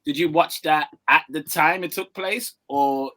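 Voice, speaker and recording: A man hosting a podcast over a video call, asking guests questions in a chatty way and repeating quick fillers. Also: on the high side, sharp tone, dry recording. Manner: chatty, repetitive fillers like "okay, okay" and "cool, cool". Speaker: podcast host. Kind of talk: casual podcast interviewing.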